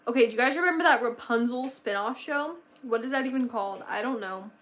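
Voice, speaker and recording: Bubbly higher-pitched young woman. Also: quick pace, fairly bright tone, slight background noise. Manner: bubbly, gushing, rambling with many fillers. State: slightly losing her voice. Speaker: livestream host. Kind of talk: casual livestream chat.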